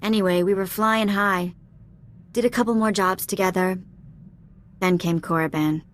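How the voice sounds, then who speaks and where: A woman playing a cheeky, warm-hearted young alien ex-thief in a video game, speaking in a casual, candid, slangy way. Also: mid-range voice, fairly even intonation, moderate pace, close to an everyday voice. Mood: candid, reflective. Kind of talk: video game dialogue acting.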